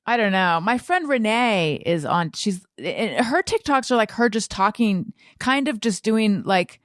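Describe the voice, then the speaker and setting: A woman's voice: medium pitch, expressive, a little slow, no echo, studio quality. A woman hosting a podcast, chatting casually in a candid, blunt way with self-deprecating humour. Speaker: podcast host. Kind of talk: casual conversational podcast chat.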